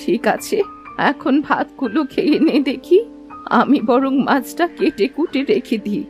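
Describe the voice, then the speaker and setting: A woman's voice: high-pitched, expressive, unhurried. A woman voicing a doting old village grandmother in a cartoon, fussing and scolding affectionately in homely speech. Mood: pleasantly surprised. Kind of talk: cartoon voice acting. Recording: music under the voice.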